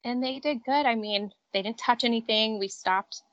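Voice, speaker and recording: A woman's voice: a little high, normal speed, a bit bright, no room sound, lo-fi sound. A woman in her thirties from Connecticut, chatting upbeat and talkative as an interview guest on an outdoor porch. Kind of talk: casual interview chat.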